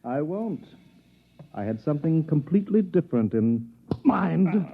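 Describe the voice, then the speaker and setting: An actor playing a gleefully menacing, mock-courteous crook in a 1950s radio drama. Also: male voice, fairly high pitch, very animated, measured pace, creaky, over music, heavily acted. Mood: smug threat. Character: gleefully menacing, mock-polite male gunman and wealthy smuggler. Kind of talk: 1950s radio villain acting. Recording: tinny, noisy 1950s radio broadcast.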